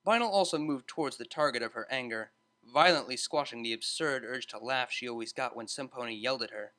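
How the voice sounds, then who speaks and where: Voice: mid-range voice, fairly quick, bright, forceful tone. A narrator reading a scripted story as an audiobook.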